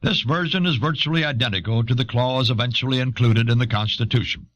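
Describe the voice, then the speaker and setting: A man reading scripted historical narration in a measured, authoritative manner. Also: medium pitch, fairly bright tone. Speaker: documentary narrator. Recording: dull old audio cassette.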